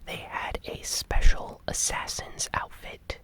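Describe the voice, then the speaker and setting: A host presenting an ASMR gaming news recap in a hushed, breathy whisper, explaining things informally and without hurry. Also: takes it slowly.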